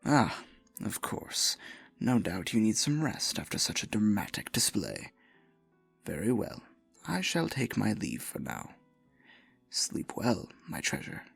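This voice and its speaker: A man playing a possessive, theatrical dark sorcerer in a fantasy ASMR roleplay, murmuring with silky menace and mock-sweet endearments in a husky, gravelly voice. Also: lowish voice, sing-song, slow pace, dry recording, faint music behind, full character voice. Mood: tender, possessive.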